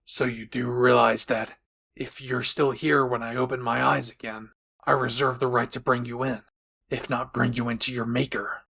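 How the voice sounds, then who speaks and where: A man playing a gruff, blunt, short-tempered adult male hero in an anime audio roleplay, sarcastic and menacing. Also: mid-range voice, flat delivery, normal speed, bright, forceful tone, heavily acted.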